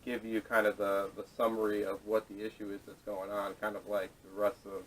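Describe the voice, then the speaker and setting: A man's voice: lowish voice, completely flat delivery, a little fast, a bit bright. A man hosting a casual panel discussion, talking in a hesitant, rambling way, picked up by a camera microphone. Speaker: podcast host. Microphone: distant camera microphone. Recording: distant camera audio, noisy.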